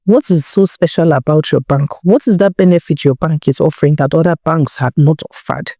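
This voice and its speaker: A woman's voice: deep voice, brisk, dark tone, dry recording, studio quality. A woman storyteller voicing an arrogant, mocking rich Nigerian businessman in a folktale, talking with condescension. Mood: mocking, condescending. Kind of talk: storybook character dialogue.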